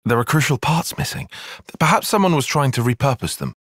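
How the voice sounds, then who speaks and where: An earnest young tactician in a video game cutscene. His voice is a little husky and recorded close and dry. He speaks in formal, bookish sentences, with pitch that moves a good deal. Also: expressive, brisk, a bit bright, dry recording. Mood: composed, analytical. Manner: precise, formal, bookish phrasing. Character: analytical, earnest young male soldier and tactician. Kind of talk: dramatic game cutscene acting.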